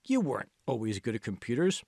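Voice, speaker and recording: A man's voice: mid-range voice, big pitch swings, fast, vocal fry, mellow, slightly rough, no echo, clean studio sound, exaggerated voice. A man voicing a confident, encouraging college friend in an audiobook, prodding and persuasive. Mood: insistent encouragement. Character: confident, encouraging young male college friend, insistent coach. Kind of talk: audiobook character dialogue.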